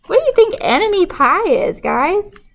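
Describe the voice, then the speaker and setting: A woman reading a picture book aloud to children in an animated way, pausing to put questions to young listeners. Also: very high-pitched, creaky. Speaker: children's story reader.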